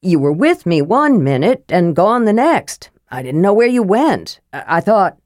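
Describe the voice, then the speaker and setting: A big, sheepish lunk of a man, voiced by a woman narrator, worried and slow-witted. He stammers apologies in a storybook style, in a dry, close recording. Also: fairly low pitch, big pitch swings, a little mellow, no room sound. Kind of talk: audiobook character voice.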